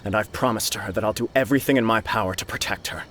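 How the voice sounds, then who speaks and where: A devoted young nobleman, played by a man in a game cutscene, low-pitched and sincere. Gentle and earnest throughout. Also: fast talker, slight background noise, natural voice. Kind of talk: video game cutscene dialogue.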